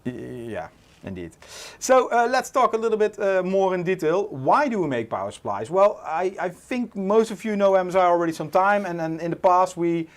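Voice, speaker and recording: A Dutch man in his forties with a Dutch accent, hosting a casual livestream, relaxed and full of "uh" fillers. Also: high voice, normal speed. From Netherlands. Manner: relaxed, many "uh" fillers, reads chat aloud. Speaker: livestream host.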